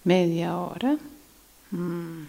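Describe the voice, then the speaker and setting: A woman's voice: on the low side, fairly even intonation, very slow, vocal fry, dark tone, heavily acted. A woman acting in amateur radio theatre as an exasperated, restless commuter waiting for a train. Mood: musing, sighing.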